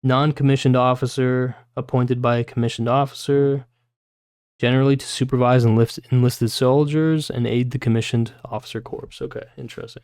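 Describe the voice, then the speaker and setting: A man's voice: mid-range voice, flat delivery, normal speed, a little mellow, smooth, no echo. Casual male streamer, dry close sound. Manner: informal, swearing, breaking off into puzzled asides. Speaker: livestreamer. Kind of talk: casual livestream chat and reading a novel aloud.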